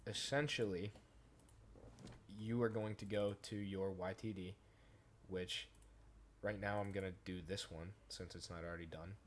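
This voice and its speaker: Male tutorial host, lower-pitched voice, informal step-by-step walkthrough, faint background noise. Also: steady tone, unhurried, pauses often. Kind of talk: casual screen-recorded software tutorial narration.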